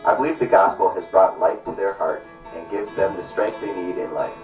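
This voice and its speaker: A man's voice: low voice, a little fast, lightly acted. A man playing a kindly, earnest missionary doctor in a puppet show, speaking politely. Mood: grateful, gentle. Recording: echoey distant dull stage recording with music.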